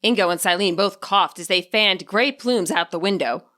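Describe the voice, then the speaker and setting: A woman's voice: medium pitch, moderate pace, bright, forceful tone. A woman reading her own fan fiction aloud as a scripted story narration.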